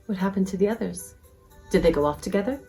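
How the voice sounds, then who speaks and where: A woman's voice: medium pitch, quick pace, dark tone, faint music behind, natural voice. A woman playing a prying, easily sulky young woman in an amateur dramatized novel reading. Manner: pointed, probing questions.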